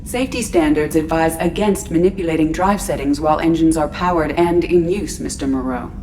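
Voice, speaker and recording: Lady playing formal ship ai in a game, composed, lowish, sounds far off with hum behind. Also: lightly acted. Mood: admonishing. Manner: formal, measured, impersonal. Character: formal, composed female ship's artificial intelligence. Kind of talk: video game character dialogue. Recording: distant game audio with background hum.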